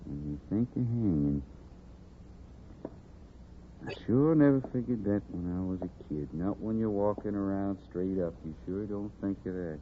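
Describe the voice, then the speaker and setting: An actor playing a condemned outlaw in his thirties in a radio Western, with a slow, brooding, folksy American Western drawl. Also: deep voice, lively intonation. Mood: troubled, wistful. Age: 30s. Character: condemned outlaw, thirty-six, bitter, regretful, unschooled. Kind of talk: 1950s radio Western acting. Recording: tinny, hissy 1950s radio recording.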